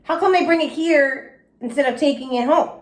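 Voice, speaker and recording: A woman's voice: high-pitched, normal speed, voice pushed up, close to an everyday voice. A woman voicing a chatty, dramatic kindergarten girl who talks emphatically in childish grammar for a storybook. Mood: curious, chatty. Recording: echoey room.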